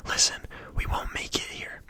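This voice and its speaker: A whispering narrator voicing a level-headed young male survivor in a soft, unvoiced whisper. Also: normal speed, natural voice. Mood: calm persuading. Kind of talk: whispered ASMR story reading.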